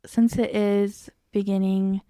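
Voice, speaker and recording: Young woman, podcast co-host, casual explainer, smooth clear tone, medium pitch, dry close sound. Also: little pitch movement, slow, mellow, dry recording.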